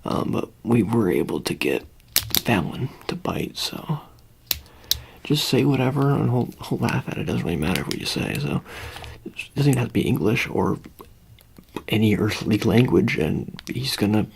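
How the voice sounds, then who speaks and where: Creaky soft-spoken ASMR roleplayer with lively pitch. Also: normal speed. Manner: hesitant, rambling, casual reassuring asides. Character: offhand handler preparing an alien for public exposure.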